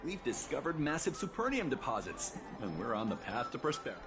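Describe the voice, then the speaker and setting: An actor playing an earnest, excitable trade envoy in a video game, polite and effusive, proud of his homeland. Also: mid-range voice, fairly quick, background noise, lightly acted. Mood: eager, proud. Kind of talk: video game character dialogue. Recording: music behind the voice.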